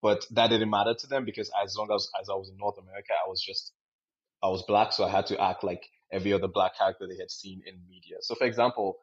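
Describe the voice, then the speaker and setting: A man's voice: sits low, steady tone, quick pace, breathy edge. A young man from Nigeria talking casually as a podcast co-host, often repeating and rephrasing as he goes. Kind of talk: casual discussion. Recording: dull, lo-fi sound.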